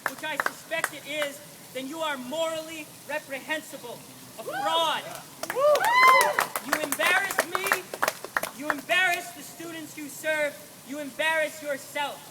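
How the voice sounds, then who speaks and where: A young man in his twenties with a quiet voice, a student, reading a pointed, indignant letter aloud at a protest. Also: extremely high pitch, animated, a little slow. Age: 20s. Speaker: student speaker. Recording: loud background noise outdoors.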